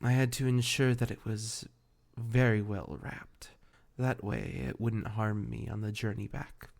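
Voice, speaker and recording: Male actor as an ancient fey familiar, lowish gravelly voice, formal archaic wording, tender and devoted, intimate fantasy roleplay audio. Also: fairly even intonation, darkish tone. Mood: calm, dutiful. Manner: formal, archaic, measured diction.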